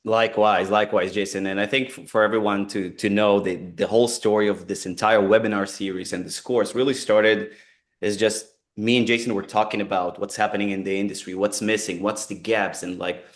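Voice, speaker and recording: Brief: Israeli man, 40s, fairly low voice, earnest conversational webinar speaker who repeats himself. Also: fairly even intonation, a little fast. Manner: earnest, repeats words and restarts phrases. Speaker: company co-founder presenting a webinar.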